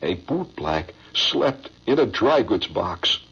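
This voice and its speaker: A man performing a solemn, sorrowful father who recites an old stage monologue in a drawn-out, theatrical way. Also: lowish voice, sing-song, fairly bright tone, husky, pitched below the performer's own voice, full character voice. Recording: dull lo-fi old radio broadcast.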